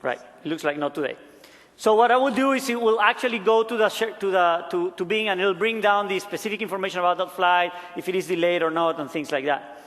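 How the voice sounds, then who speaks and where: Male product demonstrator, Peruvian accent, fairly high voice, presenting live on stage in a big reverberant hall. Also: quick pace, fairly bright tone. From Peru. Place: large conference hall stage. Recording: echoey large conference hall.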